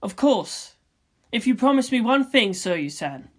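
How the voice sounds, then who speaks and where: A woman's voice: a little high, sing-song, measured pace, voice pushed up. A woman voicing an earnest, shy, caring teenage boy in a fan fiction reading. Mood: earnest, tender.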